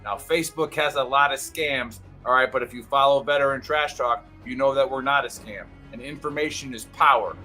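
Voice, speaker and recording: A man's voice: mid-range voice, brisk, few pauses, bright, forceful tone, faint music behind. A man giving an upbeat, salesy promotional announcement for an upcoming show.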